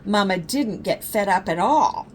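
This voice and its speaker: A woman's voice: lively intonation, a little slow. An older woman, a storyteller, reading a bedtime story to children. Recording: faint low rumbling background noise.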